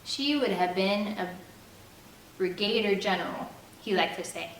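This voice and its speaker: A woman's voice: mid-range voice, measured pace. Woman reading aloud in an echoey room. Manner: expressive first-person narration. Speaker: audiobook reader. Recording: echoey room, distant mic, faint air-conditioner hum.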